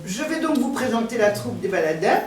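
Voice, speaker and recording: A woman's voice: a little high, big pitch swings, fairly quick. A woman presenting a theatre troupe on stage, announcing the cast in an echoey hall full of crowd noise. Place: hall with audience, recorded from afar. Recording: echoey noisy hall, distant mic, loud crowd.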